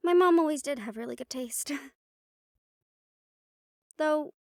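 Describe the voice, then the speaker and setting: A woman voicing a playful, chatty half-siren, half-banshee in an intimate audio roleplay, talking casually and haltingly to a listener. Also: high-pitched, dry recording, studio quality. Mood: fond, musing.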